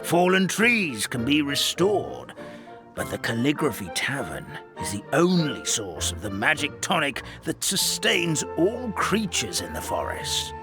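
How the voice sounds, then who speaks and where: A man voicing a kindly, forgetful elderly forest creature in a video game, telling stories in a halting, rambling, theatrical way. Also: a little high, wildly swooping pitch, exaggerated voice. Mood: alarmed, earnest. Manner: halting, rambling, theatrical storytelling with stammered repeats. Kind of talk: video game character storytelling. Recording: studio voice over background music.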